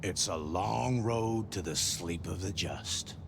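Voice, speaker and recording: An actor playing a troubled, gentle street mystic in a video game, musing philosophically and often dropping into whispery murmurs. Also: male voice, low-pitched, slow, heavily acted. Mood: guarded, serene. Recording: clear background noise.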